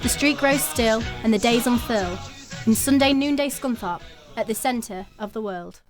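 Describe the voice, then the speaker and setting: A woman performing as the lyrical storyteller of a radio play, reciting poetic scripted narration in a measured way. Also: a little high, lightly acted. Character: lyrical female storyteller of a street's life. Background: music.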